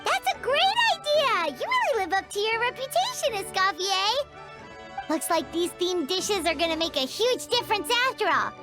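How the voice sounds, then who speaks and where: Excitable pint-sized fantasy game mascot, squeaky and childlike, sky-high pitch, swooping bubbly delivery. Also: female voice, moderate pace. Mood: excited. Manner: bubbly, refers to self in third person. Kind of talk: video game voice acting. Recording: loud music behind.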